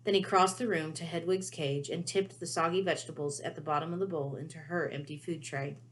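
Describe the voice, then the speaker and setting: A woman reading a children's book aloud as storyteller, with expressive narration. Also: deep voice, a little fast.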